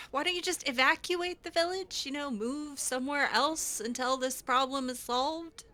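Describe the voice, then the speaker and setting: A woman's voice: sits high, moderate pace, bright, forceful tone. A woman improvising in character for a game roleplay, sardonic and hesitant, given to sighing. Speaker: gamer voicing her own character in a roleplay playthrough.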